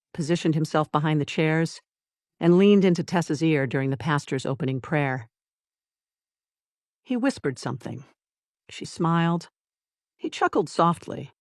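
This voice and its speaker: A narrator reading a scripted dramatic story in measured, deliberate short phrases with pauses for effect. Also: medium pitch, no echo, studio quality.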